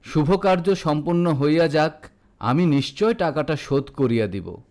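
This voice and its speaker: A man's voice: fairly high pitch, lively intonation, normal speed, darkish tone. A man voicing an anxious, tender elderly father in a story reading, earnest and reassuring. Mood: pleading.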